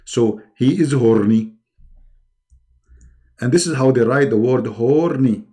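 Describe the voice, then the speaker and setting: A man's voice: mid-range voice, slow pace, dark tone. A man speaking English as a second language, hosting a live-stream debate in a sarcastic, mocking way and repeating words for emphasis. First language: not English.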